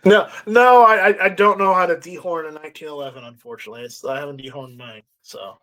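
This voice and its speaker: Higher-voiced man hosting a casual live stream. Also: sharp tone. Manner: halting, repeats words. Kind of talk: casual chat, reading out viewer comments.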